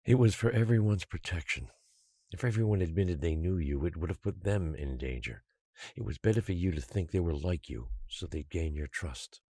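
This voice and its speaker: Very deep, husky controlling island guardian. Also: male voice, animated, normal speed, dark tone, no room sound, studio quality, exaggerated voice. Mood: grave, justifying. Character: controlling, patient male guardian and secret master of an island. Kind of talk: audio drama acting.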